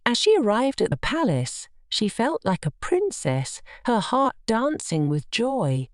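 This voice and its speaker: A woman narrating a scripted children's bedtime story in an expressive, measured storytelling manner. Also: medium pitch, no echo, studio quality.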